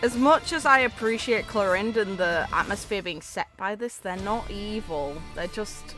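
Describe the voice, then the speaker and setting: A woman's voice: medium pitch, normal speed, pushed and bright, faint music behind. A woman giving casual, conversational video game reaction commentary, musing aloud as she goes.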